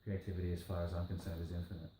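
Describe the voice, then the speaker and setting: Deep-voiced Australian man, rambling. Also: flat delivery, rapid-fire, warm tone. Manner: rambling, repeats himself, restarts often. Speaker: rapper, interview guest. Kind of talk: casual interview. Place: indoor room, on a couch. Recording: roomy echo, faint background noise.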